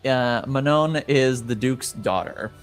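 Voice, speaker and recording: Slow, halting man with a clear voice. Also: medium pitch, soft background music. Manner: halting, hesitant phrasing. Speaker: game master. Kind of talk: improvised narration of a tabletop game.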